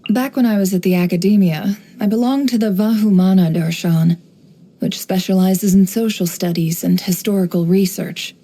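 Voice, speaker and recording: An actress voicing a sly, composed young woman, an intelligence broker in a video game, speaking in a measured, coolly knowing, teasing way. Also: mid-range voice, fairly even intonation, warm tone, close to an everyday voice. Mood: dry, bitter. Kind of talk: video game character voice lines.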